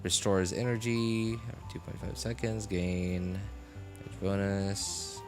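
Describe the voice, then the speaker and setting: Low-voiced man in casual livestream chat. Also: fairly even intonation, slow. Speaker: game livestream host. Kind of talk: casual rambling livestream commentary. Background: game music.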